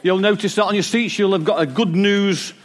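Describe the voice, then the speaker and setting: A man preaching a sermon in church, a bit croaky, with a joking, warm delivery. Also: high voice, brisk, some chatter behind. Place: church hall with congregation. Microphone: wireless mic.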